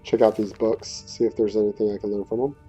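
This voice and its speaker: Mellow low-voiced man, muffled recording. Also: little pitch movement, fast talker. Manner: hesitant, low-key, conversational. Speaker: gaming video creator. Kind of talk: casual let's play commentary. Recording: dull muffled audio, faint music behind. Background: faint game music.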